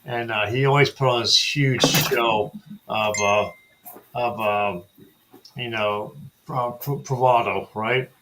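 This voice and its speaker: A man telling a story in casual chat as a co-host, halting, with many fillers. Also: mid-range voice, fairly even intonation, noisy background.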